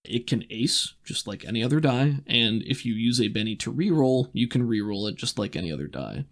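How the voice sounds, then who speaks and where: A man with a gravelly voice, running a tabletop roleplaying game as game master, telling the story and explaining in a deliberate way. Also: medium pitch, lively intonation. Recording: voice over background music.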